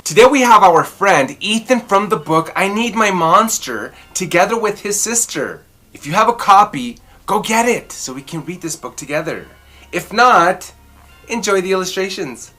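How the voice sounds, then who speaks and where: A woman storyteller reading a children's picture book aloud expressively, with dramatic, drawn-out emphasis. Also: mid-range voice, lively intonation, fairly bright tone, soft background music.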